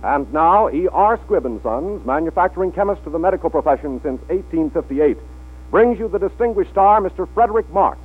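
Grand high-voiced 1940s radio announcer, a man. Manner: grand, declamatory. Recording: tinny 1940s radio recording, loud music.

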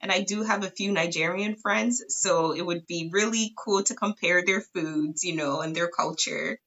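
A woman's voice: fairly low pitch, moderate pace, fairly bright tone. A woman from Ghana, a podcast guest, giving short upbeat answers. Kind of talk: casual interview answers. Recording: dull lo-fi sound.

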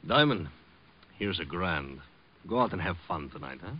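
An actor playing a smug, menacing gangster boss with falsely genial, slangy tough talk in an old radio drama. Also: male voice, low voice, animated, normal speed. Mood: smug, coaxing. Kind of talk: 1950s radio drama acting. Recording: muffled, hissy vintage radio recording.

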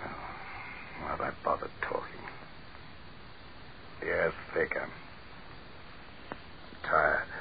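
A man's voice: a bass voice, fairly even intonation, slow pace, creaky, pauses often, muffled recording, exaggerated voice. A man in a 1940s radio horror drama playing an arrogant, death-obsessed dictator, grandiose and theatrical. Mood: weary. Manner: grandiose, theatrical, swinging from weary to frantic. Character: arrogant tyrant dictator, panicking and death-obsessed, trapped undersea. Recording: hissy band-limited 1940s radio recording.

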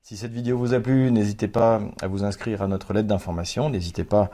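A man with a low, dark voice answers his viewers' comments in a loose monologue. He halts often, restarts his phrases and fills the gaps with hesitations. His tone is defensive and argumentative throughout. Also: steady tone. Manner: halting, many fillers and repeated words. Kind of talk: unscripted monologue to camera.

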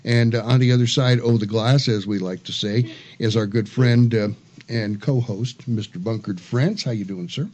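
A man hosting a radio show in a studio, casual and halting, with many fillers. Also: medium pitch, darkish tone.

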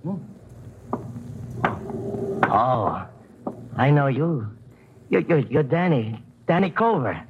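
A drunken old father in a 1950s radio crime drama, boastful and slurred. His slow talk swoops up and down. The vintage recording is muffled and full of noise. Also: medium pitch, big pitch swings. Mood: mocking, boozy. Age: older.

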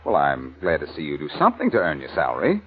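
Cocky wisecracking private sleuth, husky and teasing, tinny 40s radio sound. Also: male voice, a bass voice, huge pitch swings, quick pace, exaggerated voice. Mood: smug, needling. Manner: glib, teasing, smart-alecky. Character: Boston Blackie, cocky wisecracking male amateur sleuth. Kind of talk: 1940s radio crime drama acting. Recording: tinny, narrow-band old radio recording.

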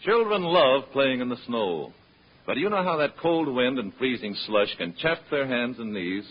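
An American man delivers scripted radio ad copy as an announcer, with a lively, swooping delivery and a slightly high, husky voice. The recording is dull, like an old broadcast. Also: moderate pace. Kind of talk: scripted vintage radio advertising.

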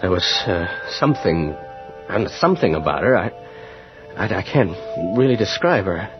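An uneasy night bus driver in an old-time radio horror drama. His delivery swings widely in pitch, halting and agitated as he searches for words. The recording is dull and dated, with music clearly underneath. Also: big pitch swings.

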